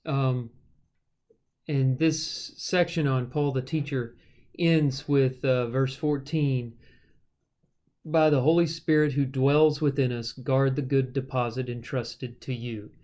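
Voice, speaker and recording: A man teaching the Bible in a conversational, measured way, moving between explanation and reading verses aloud. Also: mid-range voice, steady tone, a little mellow. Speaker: Bible study podcast host and teacher.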